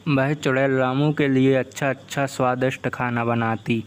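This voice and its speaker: A man's voice: medium pitch, fairly even intonation, normal speed, clear, resonant tone. A man reading a scripted folk tale as the narrator. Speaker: story narrator.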